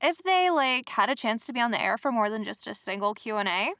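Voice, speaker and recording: Cheeky young niece, highish bright voice, sassy and confident. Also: female voice, lively intonation, a little fast, dry recording, clean studio sound. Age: young adult. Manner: sassy, slangy. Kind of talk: audio drama comedy acting.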